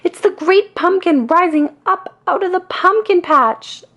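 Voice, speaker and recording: Woman voicing an excitable, earnest little storybook boy. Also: very high-pitched, measured pace, dull audio, voice pushed up. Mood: excited. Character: excitable, sincere young boy believer, stubbornly hopeful. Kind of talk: children's storybook read-aloud.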